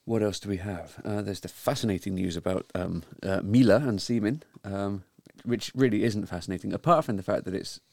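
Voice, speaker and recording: A man hosting a weekly news talk show, speaking in a measured way with frequent ums. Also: fairly low pitch, creaky, darkish tone, no echo, studio quality. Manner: measured, hesitant with many ums. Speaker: podcast host. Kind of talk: casual news discussion.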